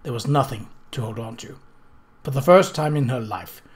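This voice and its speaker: A man reading fiction aloud as a narrator, in a deliberate, dramatic manner. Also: mid-range voice, expressive. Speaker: audiobook reader.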